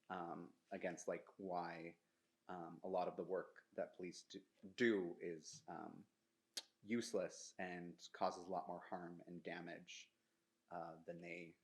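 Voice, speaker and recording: A man's voice: deep voice, a little slow. A man speaking reflectively in a group discussion, tentative and searching for words. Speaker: panelist.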